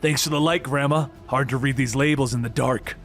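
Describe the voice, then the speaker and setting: A man's voice: medium pitch, fairly even intonation, brisk, fairly bright tone, faint music behind, lightly acted. A man voicing a sardonic, menacing young masked antihero in a comic book story, with a dry, taunting manner. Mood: sardonic menace.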